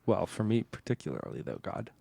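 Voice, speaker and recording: A man's voice: low voice, big pitch swings, fairly quick, vocal fry, mellow, slightly rough, no room sound. A man co-hosting a podcast with casual, playful comedic banter, riffing and questioning. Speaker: podcast co-host.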